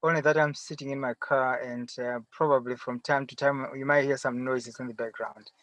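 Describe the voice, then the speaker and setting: A middle-aged man with a Zimbabwean accent answering interview questions conversationally from inside a car, often pausing and rephrasing. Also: mid-range voice, fairly even intonation, a bit bright. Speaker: interview guest, farmer. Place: sitting in a parked car.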